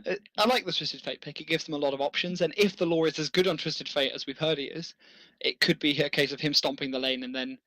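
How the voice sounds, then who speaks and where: A man's voice: a little high, pushed and bright, dry recording, rough recording. A man casting a video game match, chatting through strategy with enthusiasm and the odd chuckle. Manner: enthusiastic, analytical, chuckles often. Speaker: esports caster. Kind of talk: casual game commentary.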